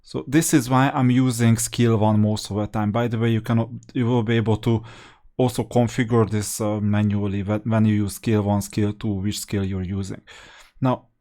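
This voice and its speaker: A man giving casual gameplay commentary as a guide, explaining his choices and thinking aloud in a halting way. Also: fairly low pitch, level tone, dark tone.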